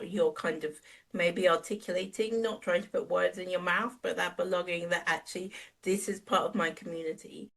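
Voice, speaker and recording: A middle-aged woman hosting an interview, affirming her guest and rephrasing her points with gentle hedges. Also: lowish voice, rough recording. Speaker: podcast host.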